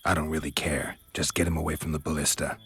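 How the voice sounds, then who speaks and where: A man voicing a stoic monster hunter with a dry, deadpan way of talking in a video game. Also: extremely low pitch, fast, husky, no echo, faint music behind, exaggerated voice. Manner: terse, deadpan.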